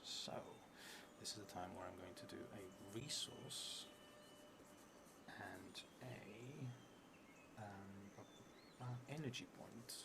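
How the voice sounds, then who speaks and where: A man in his thirties with a fairly low voice hosts a solo role-playing stream. He is unhurried and thinks aloud, dropping into murmured asides. The recording has clear background noise. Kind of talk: narrating a solo card game on a live stream. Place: indoor room at a theatre, at a table. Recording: noisy room with steady background noise.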